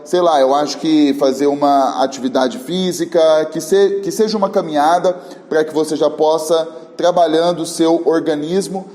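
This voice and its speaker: A man's voice: fairly high pitch, a little mellow, in a large hall. A male teacher with a Brazilian Portuguese accent gives a chatty exam-prep video lesson, often checking in with his students, his voice a little worn from a recent cold. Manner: talkative, with frequent check-in tags.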